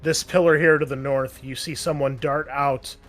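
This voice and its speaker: A man's voice: medium pitch, fairly even intonation, normal speed, a bit bright, soft background music. Chill guy gm running a tabletop game, mid voice, lots of ums, laughs a bunch. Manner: easygoing, laughs often, many ums and restarts. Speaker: game master and host.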